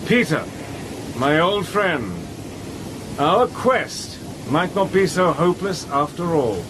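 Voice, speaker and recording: An actor playing a resourceful, determined spy-adventurer in a radio drama, brisk and wry, also narrating in the first person. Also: male voice, a little high, big pitch swings. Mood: excited. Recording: radio drama with noise behind.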